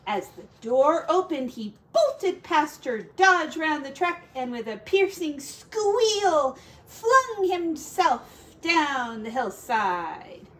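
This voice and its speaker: A woman's voice: high-pitched, very animated, takes it slowly, fairly bright tone. A woman who teaches, reading a picture book aloud to children expressively, drawing out key words for drama and adding casual asides.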